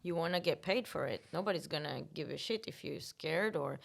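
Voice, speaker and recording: A woman's voice: deep voice, animated, fairly quick, vocal fry. A young woman whose first language is Hebrew, a student co-host speaking bluntly and frankly in casual chat. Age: young adult.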